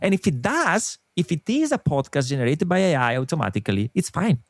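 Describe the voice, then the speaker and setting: A middle-aged man from Italy with an Italian accent, a guest in an interview, explaining his views in an earnest, animated way. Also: fairly high pitch, fairly quick, vocal fry, no echo, studio quality. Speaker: podcast company co-founder, guest. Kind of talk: interview conversation.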